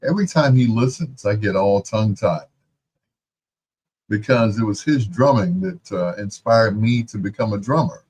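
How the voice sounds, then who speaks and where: A man's voice: fairly low pitch, lively intonation, moderate pace, a little mellow, slightly rough. A man hosting a radio music show, chatting casually and telling playful personal anecdotes.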